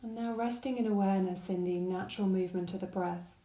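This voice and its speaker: A woman guiding a body scan meditation with gentle, calm, unhurried instruction. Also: mid-range voice, echoey room. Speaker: meditation guide.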